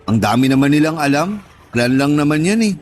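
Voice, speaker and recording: A man's voice: fairly high pitch, expressive, brisk, darkish tone, faint music behind, lightly acted. A man with a Filipino accent acting a cheeky, eager guy in his early twenties, playful and colloquial, in a radio drama. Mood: curious, complaining. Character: cheeky lovelorn 22-year-old guy, eager younger friend. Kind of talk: Tagalog radio drama dialogue.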